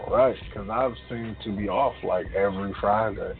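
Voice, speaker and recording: Low-voiced man on a tinny line. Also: moderate pace, gravelly. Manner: slangy, hype-man delivery. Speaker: radio show co-host. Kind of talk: casual urban radio banter. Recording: tinny phone-like sound, music underneath.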